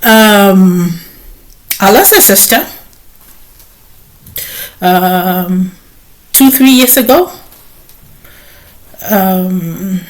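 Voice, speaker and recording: Slow-speaking woman from Ghana, hesitant. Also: medium pitch, lively intonation. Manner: slow, hesitant, many filler pauses. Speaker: nurse and vlog host. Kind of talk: personal talk to camera.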